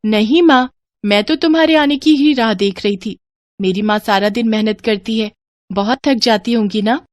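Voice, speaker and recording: A woman's voice: on the high side, moderate pace, dry recording, lightly acted. A woman voicing a sweet girlish young village daughter. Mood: affectionate. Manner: gentle, earnest, girlish.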